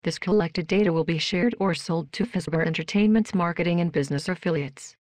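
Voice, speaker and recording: A woman voicing a polite, emotionless female robot worker in a video game, with flat, stilted, deadpan delivery. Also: on the low side, a little fast, no room sound, lightly acted. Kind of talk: scripted video game voice lines.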